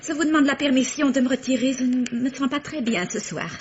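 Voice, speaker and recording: An actress playing a flustered young notary's wife, hesitant and apologetic, in a radio mystery. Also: female voice, high voice, brisk, close to an everyday voice. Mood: embarrassed, apologetic. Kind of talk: old French radio mystery acting. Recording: muffled, noisy old radio recording.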